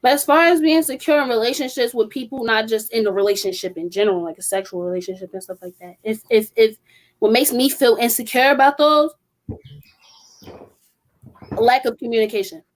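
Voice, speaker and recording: A woman's voice: fairly high pitch, fairly quick, low-quality recording. Blunt young woman speaking her mind. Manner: blunt, emphatic, strong-willed. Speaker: podcast co-host. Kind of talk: casual group discussion.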